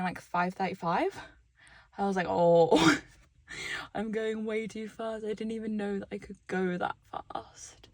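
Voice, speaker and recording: A young English woman vlogging casually to a handheld camera, chatty and rambling, her voice a little husky and deep, sometimes dropping to a soft whisper. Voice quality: slightly deep, husky morning voice. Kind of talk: casual vlog chat to camera. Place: at home and outdoors after a run. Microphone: small handheld camera.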